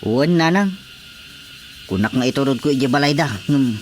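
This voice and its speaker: A man's voice: medium pitch, expressive, normal speed, darkish tone, natural voice. Man acting curt, callous husband, faint noise. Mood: curt, defiant. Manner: curt, complaining. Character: callous, stubborn husband fed up with his wife. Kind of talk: radio drama acting.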